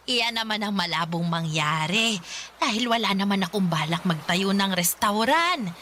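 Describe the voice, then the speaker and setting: A woman playing a warm, modest, chuckling middle-aged mother who welcomes a guest, in an audio love-story drama. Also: medium pitch, very animated, slow, background noise. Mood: modest, pleased. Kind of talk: radio-style love story drama acting.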